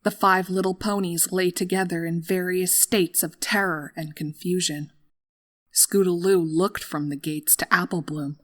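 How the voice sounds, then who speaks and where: A woman narrating a scripted horror story in a dramatic, deliberate storytelling manner. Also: lowish voice, fairly even intonation, a bit bright. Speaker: fan fiction narrator.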